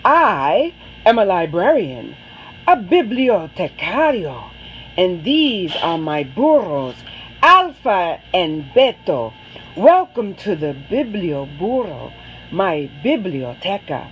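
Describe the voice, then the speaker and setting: A woman's voice: fairly low pitch, very animated, slow pace, lo-fi sound, a little hiss or hum. A woman voicing a kindly, welcoming traveling librarian man in a children's storybook. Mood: proud, welcoming.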